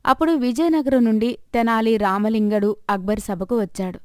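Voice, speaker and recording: A woman's voice: fairly high pitch, steady tone, normal speed, a little mellow, clear, resonant tone, no room sound, studio quality. A woman storyteller reading a scripted moral tale as narration.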